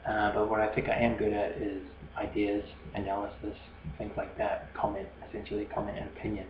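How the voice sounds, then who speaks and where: A man's voice: fairly low pitch, monotone, a little fast, reverberant, narrow, tinny audio, noisy background. A New Zealand man hosting a solo podcast, rambling and hesitant, with many fillers and restarts. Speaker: podcast host.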